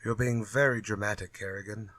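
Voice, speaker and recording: An actor playing a glib, unafraid writer in a retro radio drama, with flippant, old-fashioned phrasing. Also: male voice, fairly low pitch, steady tone, a little fast, sharp tone, no room sound. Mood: amused defiance. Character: glib, unafraid male writer sparring with his villain.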